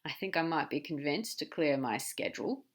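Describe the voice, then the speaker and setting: Woman doing a male demon, laid-back, casual drawl, lowish voice, storybook reading. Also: normal speed, natural voice. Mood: teasing.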